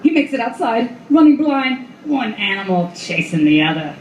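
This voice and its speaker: A woman's voice: fairly high pitch, sing-song, normal speed, darkish tone, lo-fi sound. A woman performing as the narrator of a noir radio play, telling it in clipped, vivid, dramatic phrases. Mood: urgent. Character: stark female noir storyteller. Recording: echoey live room with clear background noise.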